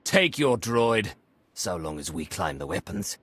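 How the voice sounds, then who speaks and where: An actor playing a proud, combative clan warrior in a video game, with a gravelly, raspy voice and grandiose delivery. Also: male voice, sits low, very animated, a little slow, fairly bright tone, full character voice. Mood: proud, fierce. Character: proud, hot-blooded male Mandalorian clan warrior.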